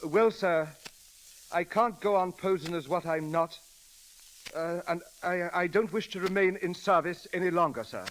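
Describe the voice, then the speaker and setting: A halting, deferential valet, hissy recording. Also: male voice, high voice, fairly bright tone, poor audio. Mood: moved, earnest. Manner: formal, hesitant, polite, halting. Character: deferential, dignified, mild-mannered English gentleman's valet, middle-aged. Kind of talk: 1930s radio acting. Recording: hissy old radio broadcast.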